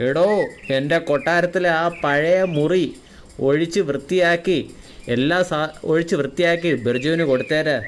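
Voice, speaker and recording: A man's voice: a little high, steady tone, normal speed, slight background noise, natural voice. A man playing a wealthy, authoritative but fair-minded landlord in a cartoon moral story. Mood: commanding.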